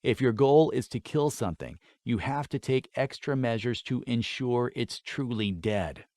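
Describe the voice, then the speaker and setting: A man voicing a stern, battle-seasoned father who lectures in a measured, formal way in a fantasy audiobook. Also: medium pitch, animated, darkish tone, dry recording, natural voice. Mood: stern instruction.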